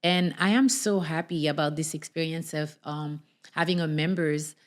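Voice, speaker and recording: A woman's voice: low voice, steady tone, moderate pace. A woman hosting a podcast and interviewing a guest in a conversational way.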